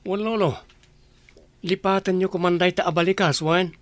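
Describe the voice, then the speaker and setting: An actor with an Ilocano accent playing an earnest, anxious young groom and respectful grandson in a radio drama. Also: male voice, sits high, fairly even intonation, moderate pace, fairly bright tone, no echo.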